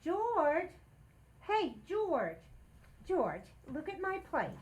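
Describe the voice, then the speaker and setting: A woman playing a romantic, easily exasperated housewife in an old-time radio comedy, expressive and wheedling. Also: very high-pitched, very slow, a little hiss or hum, exaggerated voice. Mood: exasperated, hinting. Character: Liz, hinting, romantic, exasperated young housewife.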